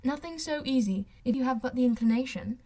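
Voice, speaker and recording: Woman playing a witty, teasing young gentlewoman. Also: high voice, fairly quick. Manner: teasing, lively, articulate. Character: witty, playful, self-assured young gentlewoman. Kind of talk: audiobook character voice.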